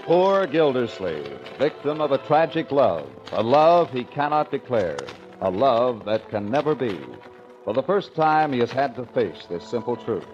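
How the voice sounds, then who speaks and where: A man's voice: medium pitch, big pitch swings, unhurried. A man narrating as a 1940s radio announcer, with a melodramatic, mock-solemn delivery. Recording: muffled 1940s radio recording. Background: music.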